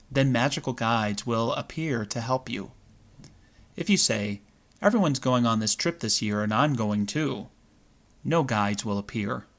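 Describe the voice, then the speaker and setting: Man guiding a meditation in measured phrases. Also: mid-range voice. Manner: measured, deliberate phrasing with pauses. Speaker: meditation guide. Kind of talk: guided visualization meditation.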